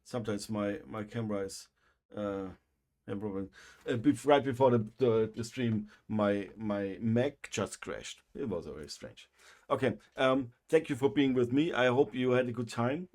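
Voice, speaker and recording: A man with a German accent, a native German speaker, hosting a livestream and chatting casually, with a halting delivery full of pauses and fillers. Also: medium pitch, darkish tone. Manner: halting, many fillers and restarts. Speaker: livestream host.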